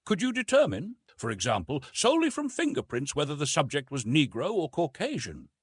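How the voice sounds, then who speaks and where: A man narrating gives voice to a young wild-raised ape man from an adventure storybook. He is curious and questioning, with formal wording. The voice is husky and very animated, recorded close and dry. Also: a little high, a little fast, no room sound, voice pushed up, full character voice.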